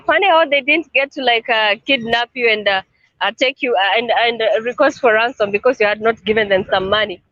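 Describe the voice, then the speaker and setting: A man's voice: very high voice, brisk, pushed and bright, dry recording. Guy walking the streets on a call, lots of uhs, dry dull sound. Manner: hesitant, full of fillers. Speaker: guide showing city streets. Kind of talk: casual chat on a call. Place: outdoors on a city street.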